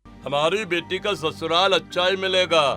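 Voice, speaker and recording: A man's voice: high-pitched, steady tone, normal speed, pushed and bright, faint music behind. A man playing an indulgent, protective father in a cartoon family story. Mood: indulgent, reassuring.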